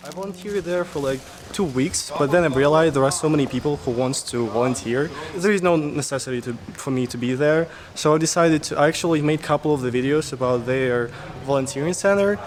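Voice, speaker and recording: A man's voice: a little high, a little fast, hardly any pauses, a crowd in the background. A young man from Russia with a Russian accent, answering interview questions in loose, casual talk full of "like" fillers. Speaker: interviewee, YouTuber. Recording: street noise behind, traffic.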